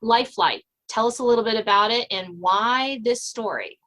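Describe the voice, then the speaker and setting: A woman's voice: a little high, normal speed, fairly bright tone. A woman hosting a podcast, introducing and interviewing guests in a friendly conversational style.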